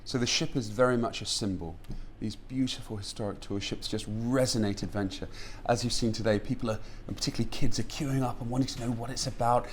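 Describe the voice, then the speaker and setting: A man's voice: medium pitch, steady tone, fast, hardly any pauses, slight background noise. A man speaking enthusiastically about a sailing project in an interview. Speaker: project spokesman. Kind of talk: interview answer.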